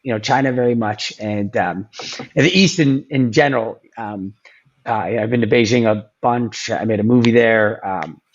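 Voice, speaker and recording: A man's voice: fairly low pitch, normal speed, dull audio. A man as a podcast guest, chatting casually with many ums and restarts. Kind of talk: casual interview chat.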